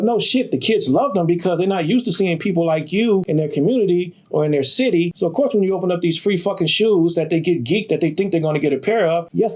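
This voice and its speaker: Emphatic streetwise man, slightly high. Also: dark tone. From Detroit, USA. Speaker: sneaker store owner. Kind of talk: documentary interview monologue.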